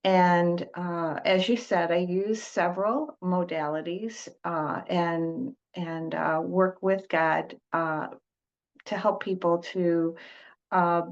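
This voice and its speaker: An older woman from Detroit speaks slowly and gently as an interview guest, often pausing on fillers and restarting her sentences. Her voice sits somewhat low, and the recording has a dull, lo-fi sound. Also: steady tone. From Detroit, United States. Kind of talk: slow, halting conversational interview. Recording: dull lo-fi audio.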